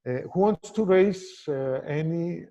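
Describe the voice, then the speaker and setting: A man moderating an online press conference, halting and full of fillers, thanking and inviting speakers. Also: a little high. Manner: hesitant, many fillers, warm thanks. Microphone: video call.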